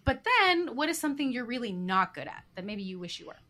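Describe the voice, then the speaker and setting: A woman's voice: a little high, very animated, sharp tone. A woman co-hosting a podcast, asking questions in an upbeat, agreeable, chatty way. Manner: upbeat, agreeable, quick laughs. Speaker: podcast co-host, worship leader. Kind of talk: casual chat.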